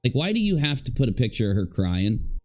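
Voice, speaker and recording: A man's voice: medium pitch, very animated, a little fast, faint music behind. A man commenting live, reacting to clips and talking to his chat in a sarcastic, rambling way. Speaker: livestream commentator.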